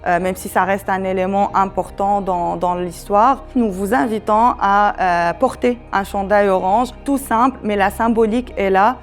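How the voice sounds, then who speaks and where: A woman's voice: medium pitch, steady tone, normal speed, few pauses. Woman presenting over music. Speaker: human rights education coordinator and presenter. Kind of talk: explanatory presentation to camera.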